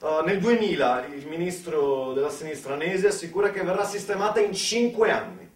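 A man performing a theatrical storytelling monologue in a conversational, ironic way, with dramatic pauses and emphasis. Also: on the high side, lively intonation. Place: echoey indoor performance space, mic at a distance. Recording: echoey room, distant microphone.

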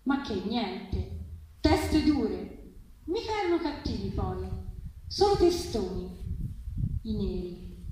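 Woman doing a stubborn old grandpa in a story reading, dismissive and insistent, kinda high voice, super echoey. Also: big pitch swings, slow, long pauses, warm tone, exaggerated voice. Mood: exasperated, dismissive. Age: older. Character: stubborn, patronizing old grandfather telling colonial tales. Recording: very echoey, distant, faint noise.

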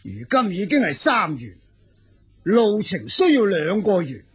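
An actor playing a proud, indignant Xiongnu king in a radio drama, with a husky, rough voice and an emphatic, halting delivery. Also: sits high, huge pitch swings, exaggerated voice. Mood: grave, reluctant.

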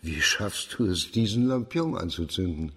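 Deep, husky, composed judge. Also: male voice, animated, fairly bright tone, full character voice. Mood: calm, probing. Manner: formal, measured, authoritative. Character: shrewd, composed middle-aged male judge of imperial China. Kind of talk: literary crime radio play acting.

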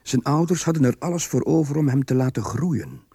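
A man reading scripted radio drama narration in a measured, literary way. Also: mid-range voice, lively intonation, mellow, slightly rough, no room sound, studio quality.

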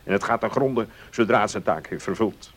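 Actor as an opinionated, philosophical gentleman baron. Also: lowish voice, lightly acted. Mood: earnest, lecturing. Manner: declamatory, deliberate argument. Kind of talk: Dutch radio play acting.

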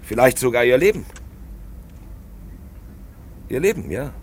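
A man acting a pensive, insistent narrator figure in a 1950s radio play, declaiming and asking probing questions with hesitant pauses. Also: medium pitch, sing-song, slight background noise. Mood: urgent. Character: pensive, insistent male narrator figure who stages the action. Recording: 1950s radio drama recording.

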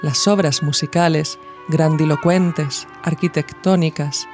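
A narrator reads a scripted audio essay in a measured, reflective way, phrasing each thought deliberately. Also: medium pitch, fairly even intonation, a little mellow. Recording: music behind the voice. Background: music.

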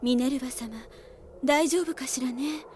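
A woman's voice: high voice, moderate pace, a little mellow, lightly acted. An actress playing a tender, polite young woman knight, the caring older sister, in a radio drama. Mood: concerned. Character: gentle, soft-spoken older-sister female knight. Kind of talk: Japanese radio drama acting. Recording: faint ambient noise.

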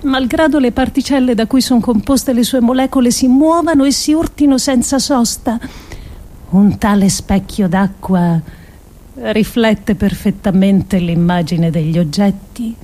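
A woman with a fairly high voice reads a scripted text for radio. Her delivery is dramatic and declamatory. She moves deliberately from phrase to phrase, setting them apart with measured pauses. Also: dark tone. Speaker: performer reading an adapted essay.

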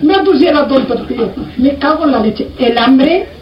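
Female storyteller, fairly high voice, colloquial and animated, recorded in a reverberant room. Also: normal speed. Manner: earthy, animated retelling with repeated reported speech. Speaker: storyteller of a folk anecdote. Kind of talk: casual oral anecdote, colloquial. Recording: muffled, roomy, distant mic, faint noise.

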